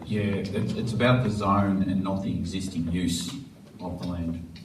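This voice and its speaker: Blunt mayor, very low monotone voice, echoey distant chamber sound. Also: male voice, measured pace. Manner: blunt, plainspoken, firm. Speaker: mayor chairing a council meeting. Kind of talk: chairing a formal meeting. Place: council chamber. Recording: echoey chamber, distant mic, faint hiss.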